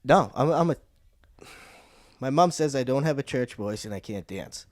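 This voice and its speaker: A man's voice: medium pitch, moderate pace, no echo, studio quality. A man, a podcast guest who tells stories with energy and acts out the voices of the people in them. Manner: animated storyteller, acts out voices. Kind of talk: casual chat.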